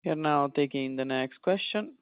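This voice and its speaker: A man's voice: on the high side, normal speed, no room sound. A man working as a conference call operator, making short scripted announcements. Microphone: conference call line. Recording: dull, dry phone line.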